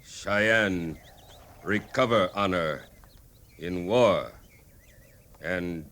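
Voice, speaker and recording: A man playing a proud, aggrieved war chief in an old-time radio drama, speaking stately, halting, broken English. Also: sits low, fairly bright tone, full character voice. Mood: solemn, aggrieved. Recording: old radio recording, faint noise.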